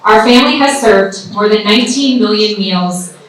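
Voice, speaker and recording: A woman's voice: medium pitch, moderate pace. A woman giving an earnest speech about her father, read from notes. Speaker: candidate's daughter giving a speech. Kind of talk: prepared speech read from paper. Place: large indoor event hall. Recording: echoey hall, mic from far off.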